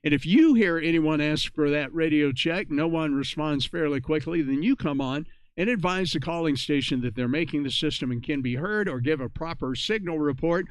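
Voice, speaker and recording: A man's voice: fairly high pitch, moderate pace, hardly any pauses, smooth, no room sound. A man hosting an amateur radio net, reading out announcements and procedural reminders in an informal, conversational way.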